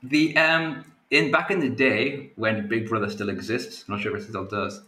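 A man's voice: mid-range voice, steady tone, moderate pace, bright, forceful tone. A man from northeast England with a Geordie accent, hosting a casual podcast chat in a chatty, self-deprecating way. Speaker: podcast host. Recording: roomy sound with faint ambience.

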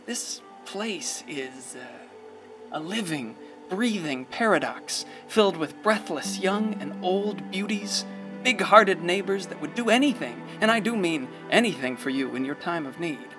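A man's voice: high-pitched, lively intonation, unhurried. A male actor playing a wry, rambling small-town narrator in a radio play, folksy, theatrical and hesitant. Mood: wry, fond. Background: prominent music.

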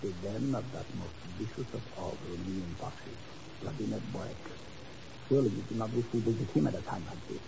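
An actor in a 1950s radio drama playing a suave European baron with florid, flattering, elaborately courteous talk. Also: male voice, lowish voice, fairly quick, vocal fry. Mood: anxious. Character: suave, flowery, theatrically gallant European baron and rogue. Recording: noisy, muffled old radio recording.

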